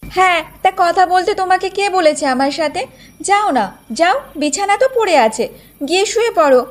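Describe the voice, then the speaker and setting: Woman acting a vain, high-voiced young village wife. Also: animated, a little fast. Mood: indignant, scolding. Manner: boastful, scolding. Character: vain, haughty young village wife obsessed with her hair. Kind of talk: Bengali cartoon folktale acting.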